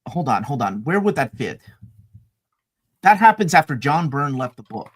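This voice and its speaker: A man's voice: medium pitch, moderate pace. A man from Peru and the United States with a light Midwestern accent, hosting a casual live-stream chat and telling stories with laughter. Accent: light Midwestern American. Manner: excitable, laughing, animated storyteller. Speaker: host.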